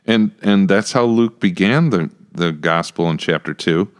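A middle-aged American man co-hosting a casual podcast, chatty, halting and repeating words as he goes. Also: low-pitched. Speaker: podcast co-host, church pastor. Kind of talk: casual Bible discussion podcast. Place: small indoor room with acoustic panels. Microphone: close podcast microphone with headphones.

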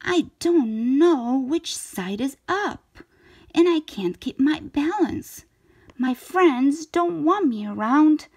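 A woman's voice: sits high, animated, slow, a little mellow, voice pushed up, exaggerated voice. A woman voicing a restless, dissatisfied little living shape in a storybook, earnest and plaintive. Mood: fed up.

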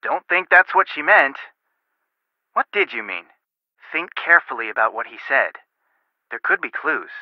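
An actor playing a helpful, wry young amateur detective giving earnest advice in a video game. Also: male voice, medium pitch, very animated, moderate pace, pauses often, no echo, lo-fi sound, close to an everyday voice. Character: helpful, sharp young male amateur detective advising by phone.

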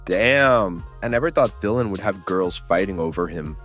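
A man's voice: low voice, expressive, moderate pace, fairly bright tone, faint music behind. A man voicing a laid-back teenage boy who teases his friend. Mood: teasing. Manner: teasing, joking.

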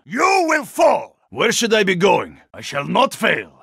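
An actor playing a hulking, stoic Russian super-soldier in a video game, proud and formal, with a gravelly, husky voice and a Russian accent. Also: male voice, high voice, huge pitch swings, fairly bright tone, dry recording, clean studio sound, exaggerated voice. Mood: fierce, shouting. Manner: terse, formal, deliberate phrasing. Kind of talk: video game character voice lines.

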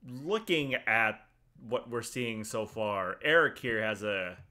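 A man in his thirties hosting a casual sports chat from home on a headset, relaxed and easygoing. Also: lowish voice, slow. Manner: relaxed, easygoing, laughs often. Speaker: podcast host.

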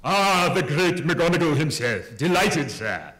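A man's voice: a little high, big pitch swings, a little slow, a bit bright. A man voicing a plummy, unctuous Victorian theatrical impresario with florid manners, in a comic stage story. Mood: flattering, businesslike.

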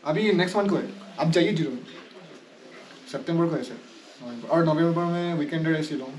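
A man with a fairly high voice chats casually at a campsite. He speaks softly and keeps his answers short. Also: moderate pace, a little hiss or hum, low-quality recording. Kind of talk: casual chat.

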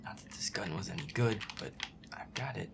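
A man muttering sarcastic, sweary gameplay commentary in a breathy, casual way, close to the mic. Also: sits low, expressive, a little fast, heavy background noise. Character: feisty werewolf boyfriend playing a scary game. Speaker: gamer playing a horror game.

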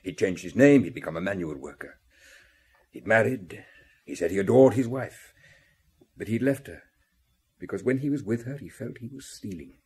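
An actor in a radio drama playing a guilt-ridden middle-aged family man, husky and halting as he confesses gravely. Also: on the low side, expressive, darkish tone. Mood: bitter, troubled.

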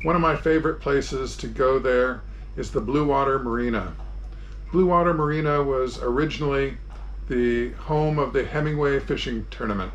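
Background noise sits under the voice of a man in his sixties with a mid-pitched delivery. He hosts an informal sailing vlog and explains things plainly, one step at a time. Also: moderate pace, lo-fi sound. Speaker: sailing vlogger and host. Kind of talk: informal narrated travel tour and how-to.

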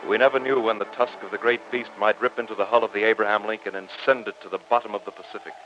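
An actor playing an earnest, formal professor who narrates a sea adventure in old-time radio drama style. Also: male voice, fairly low pitch, steady tone, rapid-fire, fairly bright tone, a little hiss or hum, close to an everyday voice. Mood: grave narrating. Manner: formal, theatrical, dramatic delivery. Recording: muffled old radio recording, music underneath.

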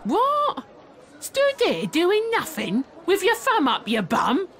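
A woman's voice: very high-pitched, wildly swooping pitch, slow pace, a bit bright, heavily acted. An actress playing a nosy, teasing village woman with a British accent and colloquial, mocking talk in a comic audio drama. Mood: cheeky, mocking.

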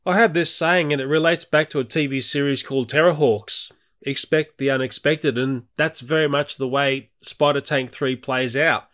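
A man's voice: medium pitch, steady tone, moderate pace, a bit bright. A middle-aged Australian man narrating a nature video in a chatty, candid voice-over.

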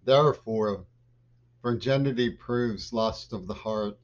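Older man ranting slowly at a webcam. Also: fairly low pitch. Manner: agitated, lurching between loud bursts and mutters. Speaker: vlogger. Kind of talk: rambling political monologue to camera. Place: small home office with bookshelves, indoors. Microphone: webcam at desk. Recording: dull lo-fi webcam audio.

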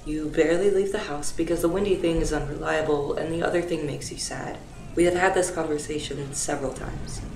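A woman voicing a dry, formal, sarcastic alien woman in a fan dub, speaking precisely and with deadpan exasperation. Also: deep voice, brisk. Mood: exasperated. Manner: precise, deadpan, stilted wording. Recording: roomy echo, clear background noise.

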